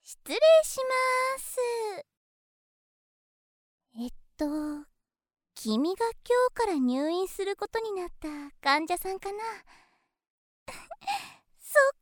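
A woman playing a gentle, coy young woman with hesitant pauses in a Japanese audio drama. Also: very high-pitched, very animated, no echo, studio quality, full character voice. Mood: sweet, coy. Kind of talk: Japanese situation voice drama acting.

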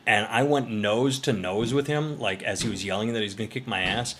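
A man's voice: lowish voice, a little fast, a bit bright, slight background noise. A man co-hosting a podcast, casually telling a profane, rambling story. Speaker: podcast co-host.

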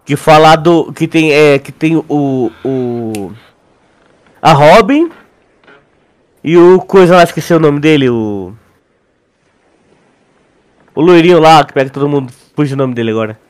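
A young Brazilian man with a fairly high voice talks casually on a podcast. His delivery is informal and slangy, with halting phrases as he searches for what to say. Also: lively intonation. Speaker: podcast co-host. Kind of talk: casual chat.

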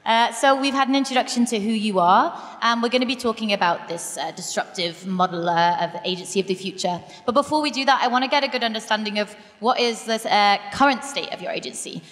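A woman's voice: mid-range voice, fast, few pauses, a bit bright. A woman moderating a conference panel, upbeat and a little hesitant, with frequent fillers as she introduces the guests. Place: conference hall stage. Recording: long hall reverb.

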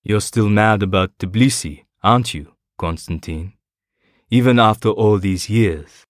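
A smug, sly male ruler, put on by a male storyteller, with a very deep voice that taunts his opponent across a game board. He keeps his tone low and mocking. The sound is dry and close. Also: a little slow, no room sound, close to an everyday voice. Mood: taunting.

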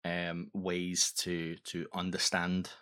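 Man, 40s, very low pitch, halting casual talk, interview guest. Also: completely flat delivery, pushed and bright, clear, resonant tone. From United Kingdom. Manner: halting, repeats words as he thinks. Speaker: guest, audio drama director.

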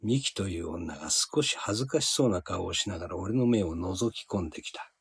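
An actor playing a hard-boiled middle-aged male medium who narrates an audio drama, low, gravelly and husky. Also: animated, brisk, no room sound, clean studio sound, full character voice. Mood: dry, detached. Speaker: narrator and lead character.

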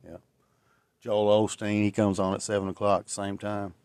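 Reflective man in his forties, low dry voice. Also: little pitch movement, normal speed, darkish tone, clean tone, dry recording. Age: 40s. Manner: rambling and reflective, many fillers and restarts. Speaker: podcast host. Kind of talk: casual solo podcast monologue.

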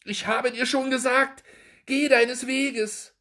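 A man's voice: very high voice, steady tone, slow, bright, forceful tone, voice pushed up, full character voice. A man voicing an angry older market woman who scolds shrilly in a storybook reading. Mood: furious.

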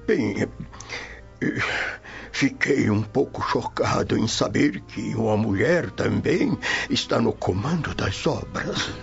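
An elderly Roman patrician in a radio drama with music beneath. His gravelly voice is deferential and formal, and its pitch swings widely on a muffled recording. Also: lively intonation, takes it slowly, heavily acted. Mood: flustered, shocked. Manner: courteous, hesitant, formal. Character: elderly Roman nobleman, deferential and easily scandalized father.

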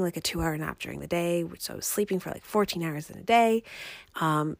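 Congested, raspy young woman with creaky voice. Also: sits low, no echo. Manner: rambling, conversational. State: congested, recovering from illness. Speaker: podcast host. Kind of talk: casual personal podcast chat.

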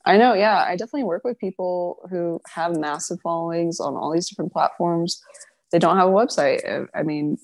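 A woman who owns a PR firm, a guest on a podcast, chatting casually, giggling often and thinking out loud. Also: lowish voice, a little fast, dry recording. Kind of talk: casual interview chat.

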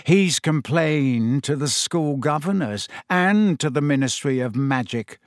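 A man's voice: a little high, animated, a little slow, no room sound, clean studio sound. A man voicing a smug, malicious schoolboy bully in an audiobook, with a drawling, mock-plaintive manner. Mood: gloating. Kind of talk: audiobook character voice.

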